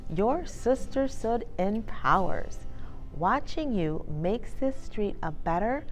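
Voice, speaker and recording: A woman voicing a kindly, wistful older neighbour lady from a children's storybook in a gentle, sincere way. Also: lowish voice, gravelly, full character voice, low-quality recording.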